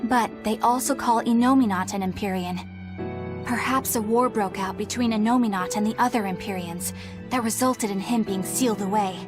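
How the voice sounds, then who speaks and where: A woman's voice: medium pitch, normal speed, lightly acted. A woman playing an analytical young woman who explains things thoughtfully in a video game cutscene. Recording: game music behind voice.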